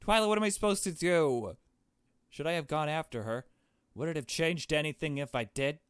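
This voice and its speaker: An anxious young heroine voiced by a man from a visual novel. She sounds hesitant, earnest and distressed, with very mobile pitch. The sound is dry and close. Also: expressive, moderate pace, smooth, dry recording, lightly acted. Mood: despairing.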